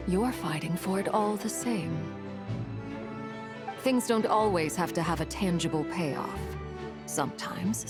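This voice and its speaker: An actress playing a composed, guarded woman of rank in a video game, speaking in a measured, formal manner. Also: lowish voice. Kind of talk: video game character acting. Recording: background music under the voice.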